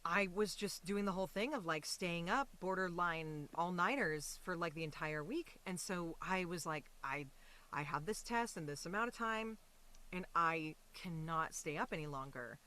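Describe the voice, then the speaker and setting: A woman chatting casually as an interview guest, expressive and giggly. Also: lowish voice, normal speed. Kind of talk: casual chat.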